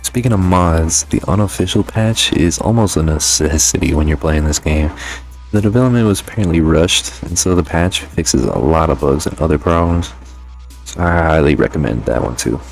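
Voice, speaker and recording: A man's voice: rumbling low, moderate pace, vocal fry, soft background music. A man giving a casual, conversational video game review voice-over in slangy, sometimes profane language.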